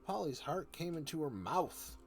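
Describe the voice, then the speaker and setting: A man reading a children's story aloud as a storyteller, in a halting way. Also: on the high side, very animated, soft background music. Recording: noticeable background noise.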